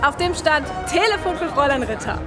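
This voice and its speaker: High-voiced, teasing young friend. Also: female voice, expressive, fairly quick. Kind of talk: naturalistic German radio drama acting. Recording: busy dance hall with loud music.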